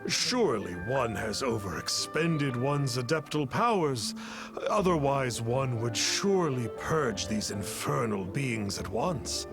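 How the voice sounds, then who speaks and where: Pompous, gravelly boastful exorcist. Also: male voice, medium pitch, expressive, slow pace. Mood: pompous, defensive. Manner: pompous, archaic, theatrical. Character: pompous boastful self-styled male exorcist, easily flustered. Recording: game music behind the voice.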